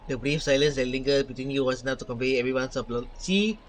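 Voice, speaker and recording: A man's voice: medium pitch, fast, slight background noise. A man reading a video game's story aloud in a theatrical way as he plays. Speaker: gaming streamer. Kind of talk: reading game dialogue aloud while reacting.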